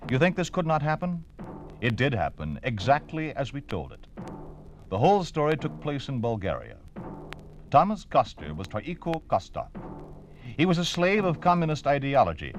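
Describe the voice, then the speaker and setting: A man's voice: medium pitch, sing-song, normal speed. An American man announcing in a scripted 1950s radio style, solemn and declamatory. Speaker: announcer, narrator. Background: music.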